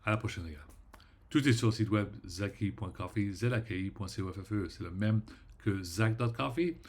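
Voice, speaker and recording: Rambling, halting French-speaking man. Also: lowish voice. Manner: rambling, halting, many fillers and restarts; trails off quietly at ends of phrases. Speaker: vlogger. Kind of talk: casual vlog monologue.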